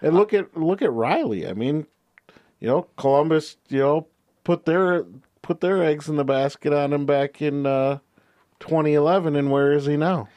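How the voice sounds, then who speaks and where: A man's voice: fairly high pitch, moderate pace, darkish tone, no echo. Easygoing guy on a sports podcast, lots of "you know", no room sound. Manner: agreeable, many you-knows and restarts. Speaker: podcast co-host. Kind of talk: casual sports chat.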